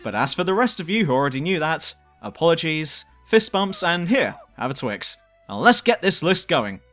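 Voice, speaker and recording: A man's voice: fairly high pitch, sing-song, normal speed, a bit bright, faint music behind. A man reading a scripted countdown review as host, with a cheeky, irreverent manner. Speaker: host and reviewer of a top ten list show.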